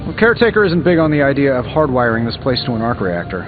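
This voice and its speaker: An actor voicing a witty, cocky armored superhero inventor in a video game, glib and sarcastic. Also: male voice, medium pitch, sing-song, fast, natural voice. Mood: breezy, joking. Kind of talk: video game dialogue acting. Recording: slightly dull game audio under music.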